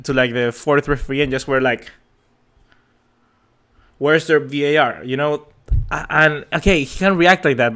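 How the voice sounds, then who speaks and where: A man's voice: mid-range voice, a bit bright. A young man from Peru hosting a live football reaction, talking passionately and with exasperation, rambling and restarting his sentences. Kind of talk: live match reaction chat.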